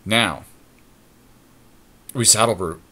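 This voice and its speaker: A man co-hosting a podcast, casually commentating on a game and thinking out loud as he reasons through each move. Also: lowish voice, takes it slowly. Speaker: podcast co-host.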